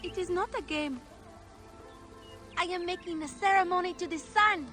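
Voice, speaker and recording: A woman's voice: extremely high pitch, normal speed, bright, forceful tone, faint music behind. A woman voicing an earnest, devout small child in a video game, speaking simply. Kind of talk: dramatic video game cutscene acting.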